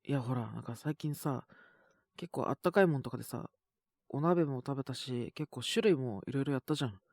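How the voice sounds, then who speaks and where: A man with a sore, scratchy throat and a cough, chatting casually and intimately in soft, hesitant murmurs as if to someone beside him. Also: medium pitch, fairly quick, dry recording, studio quality. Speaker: voice actor making situation-voice videos. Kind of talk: intimate casual chat to an unheard partner.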